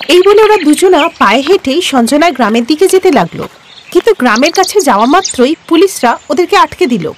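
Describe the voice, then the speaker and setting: A woman's voice: high-pitched, big pitch swings, a little fast, a little hiss or hum. A woman doing scripted narration for a cartoon story.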